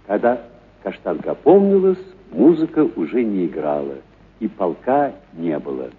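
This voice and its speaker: A man's voice: deep voice, sing-song, normal speed, slightly rough. A man narrating a literary story expressively, as the narrator of a radio production. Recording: muffled, narrow phone-like radio sound.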